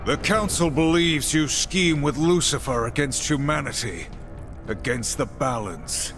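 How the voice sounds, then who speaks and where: A man's voice: fairly high pitch, measured pace, a bit bright, faint music behind. An actor playing a grim, dutiful warrior horseman in a video game, terse and formal. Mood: stern. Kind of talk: video game cutscene acting.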